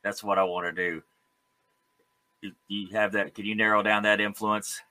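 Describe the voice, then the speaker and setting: Man, low-pitched, bright forceful voice, chatty interview host, enthusiastic, laughs easily. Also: little pitch movement, moderate pace, poor audio. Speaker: host of a music interview show. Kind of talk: casual, enthusiastic interview chat.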